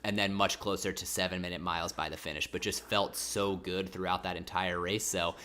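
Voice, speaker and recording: A man with a very low voice and a bright, forceful edge, talking casually to viewers on a livestream. He rambles and corrects himself as he goes. A mild cold leaves his throat a little sore. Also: flat delivery, a little fast. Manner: rambling, self-correcting, chatty. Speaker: livestream host.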